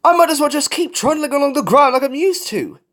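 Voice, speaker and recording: A storyteller voicing a timid young male falcon who is excitable and earnest, in a story reading. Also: medium pitch, slow pace, breathy edge, pitched above the performer's own voice, heavily acted. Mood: panicked.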